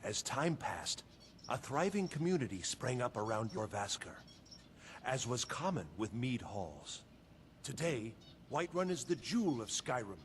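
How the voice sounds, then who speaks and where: A man's voice: mid-range voice, sing-song, measured pace. An actor playing a proud, courtly male steward of a fantasy game keep, telling lore in a formal, boastful way. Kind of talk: fantasy video game dialogue. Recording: faint noise and game ambience.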